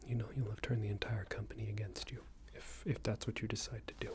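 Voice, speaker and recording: A man playing a smug, unreasonable boss in an ASMR roleplay, scolding an employee in a soft breathy whisper with a hesitant, condescending manner. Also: flat delivery, quick pace, slight background noise, natural voice. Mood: passive-aggressive. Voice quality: breathy soft whisper with low creaky murmur. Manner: hesitant, condescending, meandering reprimand.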